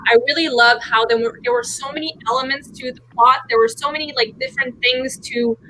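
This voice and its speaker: Female book vlogger. Highish, forward bright tone, keen and chatty, slightly noisy video-call audio. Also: fairly even intonation, brisk. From Montreal, Quebec, Canada. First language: French. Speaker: book YouTuber, panel guest. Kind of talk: casual chat.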